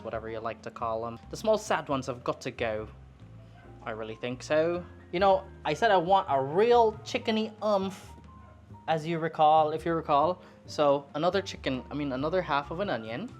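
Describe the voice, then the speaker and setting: Low-voiced, lively chatty young woman. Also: normal speed, faint music behind. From Philippines. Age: 20s. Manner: chatty, self-deprecating, wandering. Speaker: cooking vlogger. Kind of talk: casual rambling chat while cooking. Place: home kitchen.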